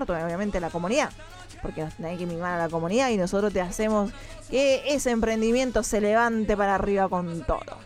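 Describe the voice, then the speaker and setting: Argentine woman, upbeat radio host, chats straight to listeners, music playing clearly behind her. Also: mid-range voice, a little mellow. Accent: Argentine, mixed Mendoza and Córdoba. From Mendoza, Argentina. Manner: chatty, upbeat, addresses listeners directly. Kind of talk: morning radio show chat.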